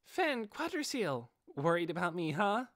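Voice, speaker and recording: A man acts out a young dark elf girl for an audiobook. The voice is pitched high and swings animatedly, recorded close and dry. She comes across as perky, eager and loyal, deferential to the master she serves. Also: very animated, measured pace, dry recording, full character voice. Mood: fond, cheerful. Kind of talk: audiobook character voice.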